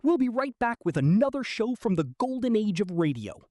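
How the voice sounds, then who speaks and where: A man's voice: high voice, sing-song, normal speed, warm tone, no echo, clean studio sound. A man playing a small-town 1970s radio newscaster, reading bulletins in a formal announcer's manner. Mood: formal, upbeat.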